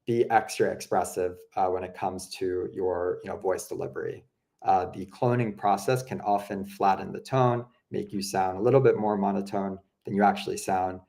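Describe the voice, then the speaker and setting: A young man with a slightly low voice talks viewers through a software tool. He keeps it loose and conversational, full of fillers, as if chatting across a desk. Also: moderate pace, creaky. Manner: relaxed, chatty, many fillers like "you know" and "um". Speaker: product presenter. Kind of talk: casual explanatory walkthrough.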